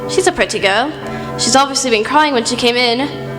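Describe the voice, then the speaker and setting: Woman acting a high-voiced sentimental English lady doctor. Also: normal speed, close to an everyday voice. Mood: kindly, curious. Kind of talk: radio play acting. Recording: echoey hall, music behind.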